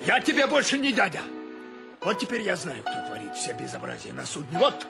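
An exasperated male ship's captain in a radio drama. He blusters emphatically in a very high, gravelly voice that swings wildly. Loud music runs behind. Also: huge pitch swings, fairly quick, exaggerated voice. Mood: angry, exasperated. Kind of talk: Russian radio play acting.

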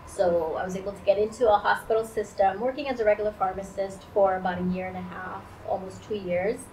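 A woman in her forties from Guyana, telling her story in an interview in a lively, animated way. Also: medium pitch, quick pace, a little hiss or hum, poor audio. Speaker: interview guest. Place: indoors against a pale wall. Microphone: lapel mic.

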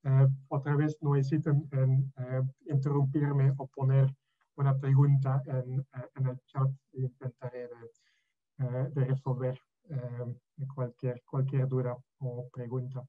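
A middle-aged man from Belgium, not a native speaker, lecturing as a professor on a video call, halting with many fillers and restarts. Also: mid-range voice, monotone. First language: not Spanish. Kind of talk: online lecture. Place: room at home. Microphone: webcam on a video call. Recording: dull lo-fi video call audio.

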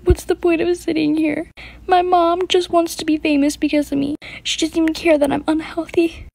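A woman's voice: very high-pitched, flat delivery, normal speed, creaky, a little mellow, pitched above the performer's own voice. A woman playing a young sick girl, bitter and sarcastic, in a homemade skit. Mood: angry, bitter. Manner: sarcastic, resentful complaints.

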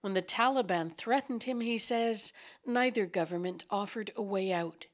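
A woman correspondent narrating a scripted news report in a measured, solemn manner. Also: mid-range voice, a little hiss or hum. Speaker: foreign correspondent.

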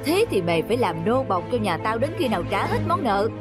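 Bullying rich landlord, cartoon folk tale, haughty, high-pitched, barking orders. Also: male voice, sing-song, a little fast, music in the background. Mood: domineering. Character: arrogant, greedy rich village landlord, bullying debtors.

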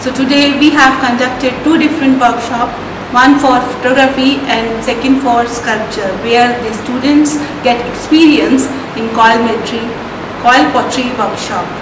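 A woman's voice: fairly high pitch, steady tone, normal speed. A middle-aged woman fine arts professor formally introducing a workshop on camera in an art studio. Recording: echoey studio, distant mic, noisy.

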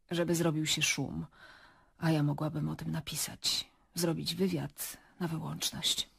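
An actress playing a cornered female journalist in a radio drama, speaking in a hushed, halting and husky way. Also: fairly low pitch, full character voice. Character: cornered female journalist confessing, contemptuous then defensive.

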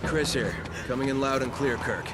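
An actor playing a weary, gruff American special agent in a video game, terse and brooding. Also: male voice, mid-range voice, normal speed, loud music behind the voice, close to an everyday voice. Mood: businesslike. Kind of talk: video game acting and narration.

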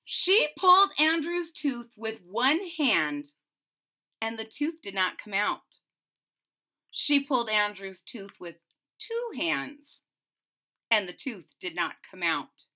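A woman's voice: mid-range voice, very animated, slow, pushed and bright. A middle-aged woman reading expressively to children, theatrical and emphatic, drawing out key words. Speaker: children's story reader. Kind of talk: expressive read-aloud storytelling for children. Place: small room at home.

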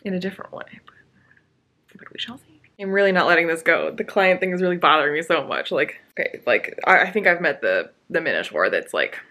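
Young female book vlogger, bright and forceful lower voice, exasperated and emphatic, filming at home. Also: lively intonation, fairly quick. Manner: exasperated, emphatic, many fillers and restarts. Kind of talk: casual book review rant. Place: living room with bookshelves, indoors.

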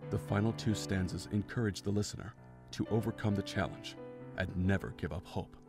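A man's voice: fairly low pitch, fairly quick, music in the background. A man reading scripted story narration as the narrator of an audio drama.

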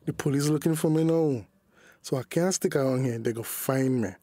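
A man playing a smooth-talking, evasive young sweetheart on the run in a radio drama. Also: mid-range voice, normal speed, warm tone, no room sound, lightly acted. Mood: reluctant, weary.

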